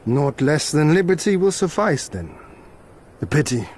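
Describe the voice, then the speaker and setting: Wry, noble exiled lord. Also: male voice, a little high, sing-song, a little mellow, a little hiss or hum. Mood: wry, resigned. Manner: formal archaic diction, measured and courtly. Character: noble exiled samurai lord, young man, wry and resolute. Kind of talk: fantasy game cutscene acting.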